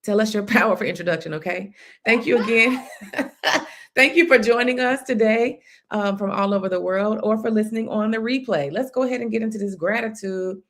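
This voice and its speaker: A woman hosting an online business livestream in a chatty, lively way, laughing often. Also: medium pitch, fairly quick. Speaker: livestream show host. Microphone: streaming setup.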